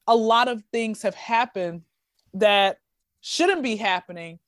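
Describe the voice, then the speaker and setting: Animated Southern woman from Atlanta. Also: a little high, slow, no echo, studio quality. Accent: Southern American. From Atlanta, Georgia, USA. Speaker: guest comedian and podcast host. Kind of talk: casual video chat. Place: indoors at home.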